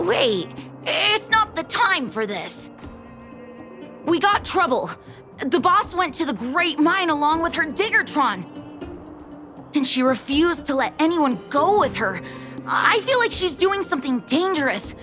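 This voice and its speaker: Excitable game kid boy, very high voice, theatrical, self-important, slow-paced delivery. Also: faint music behind, heavily acted. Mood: worried, urgent. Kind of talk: animated video game voice acting.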